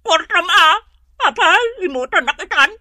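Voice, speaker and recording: High, whiny village gossip wife. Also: female voice, normal speed, no echo, clean studio sound, full character voice. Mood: wailing, upset. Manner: animated, complaining, exclamatory. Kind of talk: comedy radio drama acting.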